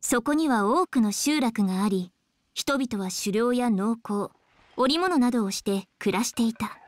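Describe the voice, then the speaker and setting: A woman's voice: a little high, animated, unhurried, dry recording. An actress playing a polite, composed young female scholar in an anime game, speaking in an articulate, explanatory way. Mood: studious, explaining.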